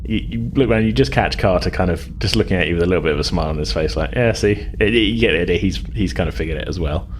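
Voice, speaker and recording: A man's voice: sits low, racing, hardly any pauses. A man running a tabletop game as game master, narrating in a rambling, hesitant, conversational way and explaining as he goes. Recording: clear background noise.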